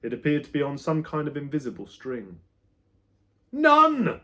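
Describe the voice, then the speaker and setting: Male author, children's story narration, medium pitch, dramatic emphasis, varied volume, expressive delivery. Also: fast. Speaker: author reading his own book.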